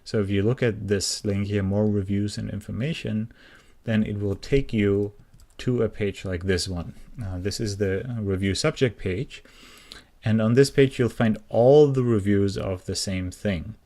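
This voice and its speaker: A man's voice: on the low side, unhurried, warm tone. A man walking through a website in a screencast, explaining plainly with many uh and um fillers. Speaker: software project founder giving a demo. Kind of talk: screencast walkthrough.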